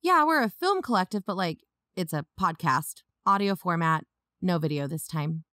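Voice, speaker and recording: A woman's voice: fairly low pitch, lively intonation, moderate pace, pushed and bright, no room sound, clean studio sound. A woman playing an earnest young amateur investigator and podcaster, probing in a natural, matter-of-fact way. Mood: concerned, probing. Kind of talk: audio drama narration and natural phone dialogue.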